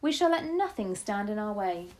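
A woman's voice: mid-range voice, very animated, moderate pace. A woman voicing a feisty, fierce old grandmother with bold, impatient, gleeful declarations in a storybook reading. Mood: determined. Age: older. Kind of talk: storybook read-aloud.

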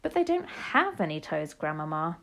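A woman's voice: low voice, very animated, normal speed, vocal fry, fairly bright tone, full character voice. A woman reading aloud as a young boy, frightened but earnest, telling his story breathlessly.

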